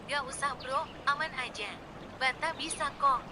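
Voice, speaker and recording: Very high squeaky meek pig. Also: male voice, normal speed, noisy background. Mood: modest, reassuring. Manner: modest, brief polite replies. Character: small meek friendly male pig, polite, stubbornly self-reliant. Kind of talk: acted bedtime story in ASMR roleplay.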